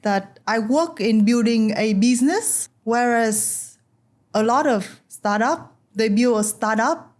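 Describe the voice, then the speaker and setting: A woman's voice: a little high, slow. A woman from Vietnam hosting a podcast, chatting casually and conversationally with a guest. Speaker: podcast host. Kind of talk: conversational interview, casual chat.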